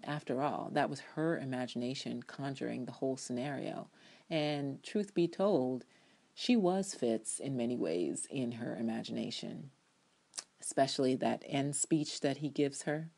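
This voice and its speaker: Low-voiced woman reading her review dramatically. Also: animated, measured pace, vocal fry, dark tone. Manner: emphatic, sassy, blunt with profanity and slang.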